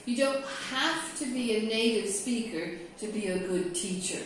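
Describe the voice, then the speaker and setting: A woman's voice: on the high side, moderate pace, slight background noise. American woman teaching, in a roomy echo. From California. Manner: checks in with the group, chatty and conversational. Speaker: English teacher trainer. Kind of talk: classroom discussion. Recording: roomy echo, mic from a distance.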